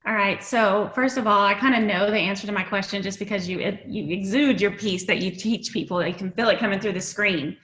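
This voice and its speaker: A woman's voice: mid-range voice, fairly quick, hardly any pauses. Woman interviewer in an echoey room. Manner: warm interviewer, long framing questions. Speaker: show host. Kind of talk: casual interview chat.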